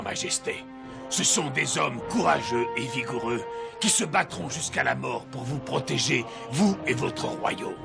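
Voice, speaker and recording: Formal, devoted soldier-herald, very gravelly, declaiming to royalty in an animated fairy tale over music. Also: male voice, medium pitch. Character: solemn loyal warrior and herald addressing royalty. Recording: music behind the voice.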